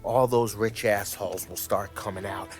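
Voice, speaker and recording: A man playing a scheming, hard-edged gangster in a video game cutscene, with deliberate delivery. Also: on the low side, steady tone, over music, close to an everyday voice. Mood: calculating.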